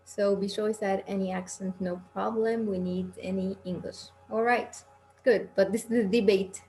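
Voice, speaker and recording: A woman's voice: mid-range voice, fairly even intonation, moderate pace, a little mellow. A woman from Egypt teaching an English class online, talking in an encouraging, reassuring, informal conversational way. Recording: noisy online call with background hum.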